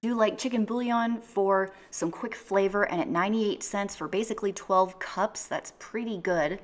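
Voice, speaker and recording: A woman's voice: medium pitch, moderate pace. Woman in her 30s chatting to camera from her couch, earnest, practical, walks through prices, faint background hum. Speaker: budget cooking vlogger. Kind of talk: casual vlog talk to camera. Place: living room, sitting on a couch.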